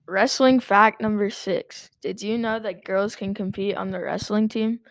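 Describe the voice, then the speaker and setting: An upbeat teenage boy reads school announcements into a handheld mic. His voice is close and dry, with a mid pitch for a young voice. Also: fairly even intonation, unhurried, vocal fry, a bit bright, no echo. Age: teen. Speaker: student body president.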